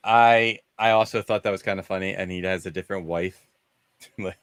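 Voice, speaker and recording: Male host for casual discussion, lowish voice, very dry recording. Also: steady tone, moderate pace, a bit bright, clear, resonant tone, no echo. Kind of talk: casual movie discussion chat.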